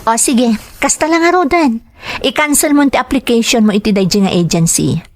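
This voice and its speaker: A woman's voice: a little high, normal speed, lightly acted. A woman with a Filipino accent playing a friendly, persuasive young job recruiter in an audio drama. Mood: persuasive, coaxing.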